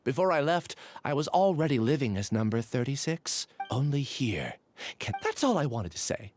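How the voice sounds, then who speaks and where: A man's voice: mid-range voice, big pitch swings, normal speed, darkish tone, a little hiss or hum, close to an everyday voice. An actor playing a troubled young male researcher in a video game, solemn, apologetic and confessional. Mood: resigned, confessing. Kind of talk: dramatic video game voice acting.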